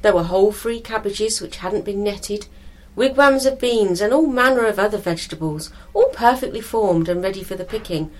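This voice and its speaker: A woman's voice: mid-range voice, moderate pace, rough recording. A British woman narrating a scripted story as a storyteller.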